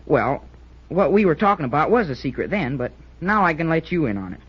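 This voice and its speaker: A muffled old radio recording of an eager schoolboy cadet. His voice is on the high side and swings up and down freely as he chats earnestly and jokes. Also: sing-song, fairly quick, husky. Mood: eager, confiding. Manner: chatty, boyish, earnest explaining. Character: eager, joking schoolboy cadet named Jerry, male. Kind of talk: old-time radio drama acting.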